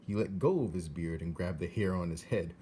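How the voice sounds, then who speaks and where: A man narrating a storybook aloud in an expressive scripted reading. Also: deep voice, fairly quick, husky.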